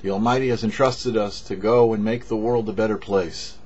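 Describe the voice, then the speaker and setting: A man, a rabbi, giving a short sermon with parables in a measured storyteller's delivery, pausing between phrases. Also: lowish voice, fairly even intonation, darkish tone, husky. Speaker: rabbi, host of a weekly Torah portion show. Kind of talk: sermon with storytelling, read for a show. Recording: dull, lo-fi audio.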